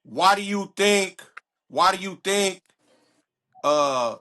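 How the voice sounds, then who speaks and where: A man's voice: high-pitched, lively intonation, slow, pushed and bright. A man co-hosting a casual interview, mostly giving short affirmations and asking follow-up questions. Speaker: podcast co-host.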